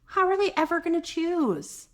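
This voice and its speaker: A woman with a soft voice reads a picture book aloud to young children, gentle and expressive, with chatty asides to her listeners. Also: very high-pitched, moderate pace. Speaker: children's read-aloud host; mother.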